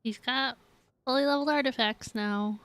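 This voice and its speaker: A woman's voice: sits high, normal speed, fairly bright tone, clear, resonant tone, no room sound. A woman giving casual live gameplay commentary, giggly and thinking aloud as she plays. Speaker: video game streamer. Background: game music.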